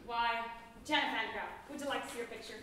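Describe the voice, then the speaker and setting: A woman's voice: a little high, brisk, heavy background noise, natural voice. A woman playing a cheerful, breezy young groom who wears a blindfold on a school stage. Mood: chipper. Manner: breezy, chipper, dropping in French. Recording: echoey theatre from far off.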